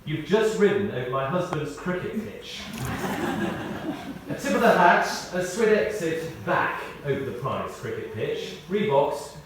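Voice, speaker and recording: A man reading a prepared tribute aloud in short, measured phrases, with wry storytelling. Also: a little high, very animated. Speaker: tribute speaker. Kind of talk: read-out memorial tribute with anecdotes. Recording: echoey hall, distant, faint noise.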